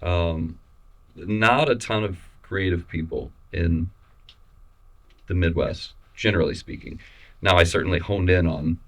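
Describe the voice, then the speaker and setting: Very deep-voiced man, easygoing and halting. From Missouri, USA. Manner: relaxed, halting, many restarts and fillers. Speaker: photographer guest. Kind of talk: casual interview conversation.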